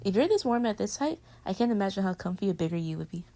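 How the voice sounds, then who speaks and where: A woman's voice: medium pitch, very animated, fast talker, warm tone. A woman playing a playful, clingy young girlfriend in an intimate pillow-talk roleplay audio, teasing and affectionate as she rambles between murmurs and exclamations. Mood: playful, curious.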